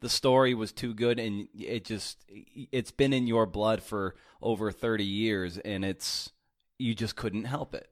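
A man's voice: on the low side, steady tone, measured pace, no echo, studio quality. A man hosting a podcast interview, casual and searching for words as he reflects back on what his guest said. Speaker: podcast host. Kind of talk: conversational interview.

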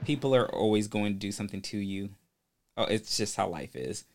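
A man's voice: fairly low pitch, brisk, a little hiss or hum. A man hosting a podcast in a casual interview chat, rambling with many fillers and restarts. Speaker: podcast host.

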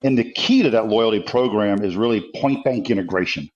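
A man, an interview guest, explaining his work in a relaxed conversational way with many fillers. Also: on the low side, fairly quick, muffled recording. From New Orleans area, US Gulf Coast. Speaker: guest, tech company executive. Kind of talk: interview answers.

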